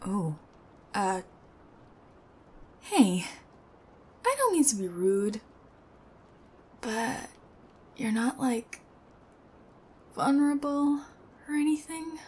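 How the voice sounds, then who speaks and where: A young woman acting an odd, sweet, sheepish thief in an ASMR roleplay, speaking softly and hesitantly with awkward pauses. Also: a little high, sing-song, breathy edge, heavily acted. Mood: sheepish, earnest.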